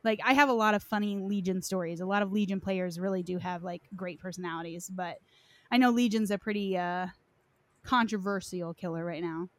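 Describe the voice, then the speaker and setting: A woman's voice: lowish voice, fairly quick. Gamer girl host, casual rambly storytelling, says like a lot, dry sound no echo. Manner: informal, anecdotal, frequent "like". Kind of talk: casual chat.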